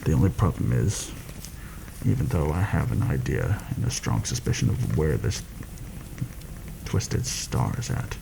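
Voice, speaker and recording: A very deep-voiced, shrewd male mercenary, acted out by a man in a soft-spoken ASMR fantasy roleplay. He confides his secret plans deliberately and a little formally, with a gravelly creak and lively swings in pitch. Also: noisy background, exaggerated voice. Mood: conspiratorial, measured.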